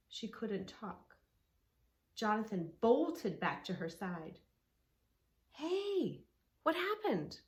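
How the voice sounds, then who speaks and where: A woman hosting a children's storytime, narrating expressively and speaking directly to young listeners. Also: mid-range voice. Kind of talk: children's read-along storytelling with asides.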